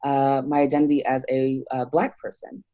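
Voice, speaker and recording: A woman from Nigeria talks things through in an interview, pausing often and restarting her thoughts. Her voice sits very low and sounds mellow and dark. She speaks reflectively rather than with polish. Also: little pitch movement. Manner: thoughtful, hesitant, many fillers and restarts. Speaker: theologian guest.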